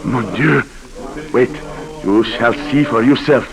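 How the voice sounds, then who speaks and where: A man acts a fiery, imperious Haitian governor with a French accent. He is commanding and emphatic, with a mid-pitched voice, in the theatrical style of 1940s radio drama. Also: takes it slowly, a crowd in the background, lightly acted. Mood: frustrated, fervent. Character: imperious, superstitious Haitian governor, former rebel leader, fiery. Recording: vintage 1940s radio broadcast.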